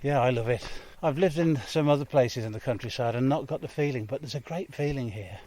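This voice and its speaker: A man's voice: medium pitch, a little fast, warm tone. A man, a guest musician, chatting casually and laughing often during an interview on an outdoor walk.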